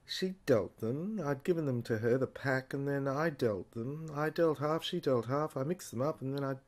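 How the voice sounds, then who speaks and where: A man's voice: medium pitch, moderate pace, darkish tone, natural voice. A man playing an investigator in an audio drama, hesitantly muttering to himself as he puzzles through written notes. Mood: pondering. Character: musing male investigator puzzling over someone's handwritten notes. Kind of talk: low-key conversational audio drama monologue.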